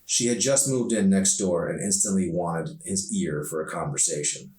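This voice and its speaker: A man with a very low voice reads fiction aloud as an author narrating his own book. The sound is echoey, with the microphone some way off. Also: normal speed, a little mellow. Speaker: author reading own novel. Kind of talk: scripted audiobook narration. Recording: roomy echo, distant microphone.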